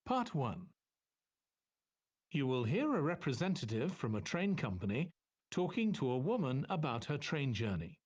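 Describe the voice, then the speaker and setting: A man reading scripted exam instructions as a narrator, in a measured announcing style. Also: mid-range voice, very animated, darkish tone, dull audio.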